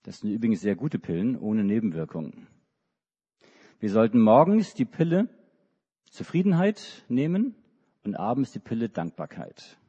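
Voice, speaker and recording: Middle-aged male preacher, animated storyteller for children, husky voice, muffled hall sound. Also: lowish voice, moderate pace, long pauses. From Hamburg, Germany. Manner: storytelling to children, with many restarts and hesitations. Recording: dull recording in a reverberant hall.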